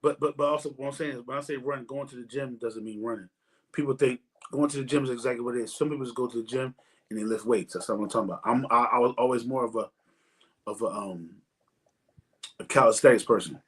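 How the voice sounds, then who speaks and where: A man's voice: mid-range voice, quick pace, fairly bright tone, slightly rough. A man in his forties hosting a casual podcast chat, rambling and repeating words as he works toward his point. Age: 40s. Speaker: podcast host.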